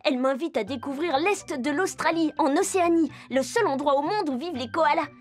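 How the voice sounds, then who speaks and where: High-pitched cheerful cartoon girl voiced by a woman. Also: fairly quick, lightly acted. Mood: excited. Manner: bubbly, addresses young viewers directly. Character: Jasmin, cheerful curious young girl explorer who loves animals. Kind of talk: children's cartoon dialogue.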